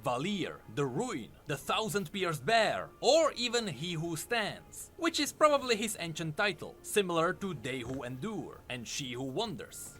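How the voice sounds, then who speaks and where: A man reads scripted lore narration in a fairly high voice. His delivery swings widely in pitch over faint music. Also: sing-song, a little slow, hardly any pauses, sharp tone.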